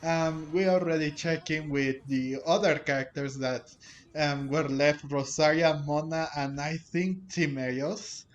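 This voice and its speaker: Slow, halting man with a highish voice. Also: fairly even intonation, lo-fi sound. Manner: halting, rambling delivery. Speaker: host of a game playthrough channel. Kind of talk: casual gameplay commentary. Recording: game music under the voice. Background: game music.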